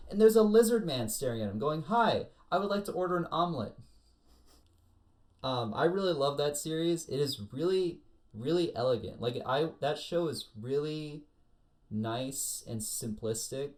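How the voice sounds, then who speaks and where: A man co-hosting a casual, comedic anime podcast, telling stories in an animated, exaggerated way. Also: fairly high pitch. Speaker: podcast co-host.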